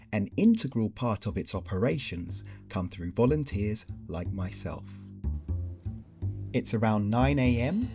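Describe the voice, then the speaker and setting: Deep, mellow male narrator. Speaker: documentary narrator and volunteer. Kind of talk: scripted narration. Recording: faint background noise.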